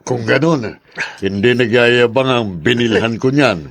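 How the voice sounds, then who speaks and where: A man's voice: mid-range voice, a little slow, vocal fry, dry recording. Stern older father, close and dry. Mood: stern, regretful. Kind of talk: Tagalog radio drama acting.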